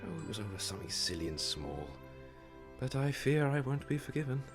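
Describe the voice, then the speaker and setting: A man's voice: mid-range voice, sing-song, brisk, faint music behind, natural voice. A man acting as an anguished, bewildered widower in an audio drama, earnest and dramatic in delivery. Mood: tender regret. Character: anguished, guilt-ridden widower, emotional and bewildered.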